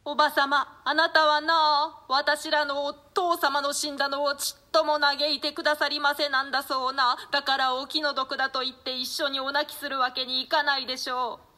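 A woman's voice: sits high, level tone, normal speed, hardly any pauses, sharp tone, pitched above the performer's own voice. A woman voicing a small, innocent orphaned child in a classic stage play, pleading and insistent. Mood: reproachful.

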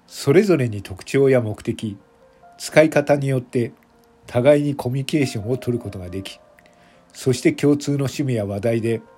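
A man reading scripted narration for an audio drama in a measured, deliberate manner, phrase by phrase. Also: mid-range voice, darkish tone, husky.

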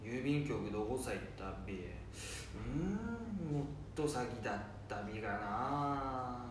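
A man's voice: sing-song, slow pace. A man playing a confused, wandering old grandfather who mutters to himself in Nanbu dialect, in an amateur radio drama. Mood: puzzled muttering. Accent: Nanbu dialect, northeastern Japan. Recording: roomy, distant, clearly noisy with rumble.

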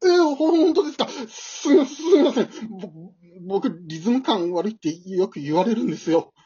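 A woman's voice: mid-range voice, expressive, brisk, mellow, slightly rough, lo-fi sound. A woman playing a timid, flustered married man in an amateur radio drama, stammering, apologetic and self-deprecating. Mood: nervous, flustered.

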